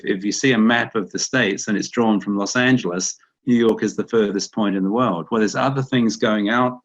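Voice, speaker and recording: A middle-aged New Zealand man with a low voice gives a loose, conversational webinar talk, circling back and repeating himself as he goes. The audio is muffled and dull, with the lo-fi sound of an online call. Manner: conversational, repeats words when restarting. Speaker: guest speaker.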